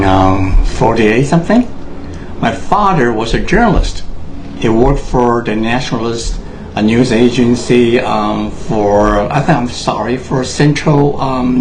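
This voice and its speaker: Earnest Taiwanese man, halting speech. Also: medium pitch, a little hiss or hum. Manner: earnest, halting, many fillers and restarts. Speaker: speaker addressing Americans. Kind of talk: unscripted personal address to camera.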